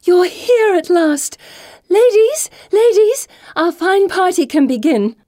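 Excitable girlish young lady voiced by a woman narrator, audiobook. Very high, gushing, singsong, gleefully silly, close dry sound. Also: normal speed, no echo. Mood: squealing delight. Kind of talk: audiobook character voice.

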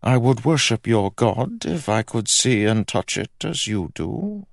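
A man's voice: mid-range voice, very animated, a little slow, creaky, no echo, studio quality, pitched above the performer's own voice. A man voicing a pompous, sly, greedy king of England in an audiobook, with an imperious, droll delivery. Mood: sly, amused. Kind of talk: audiobook character acting.

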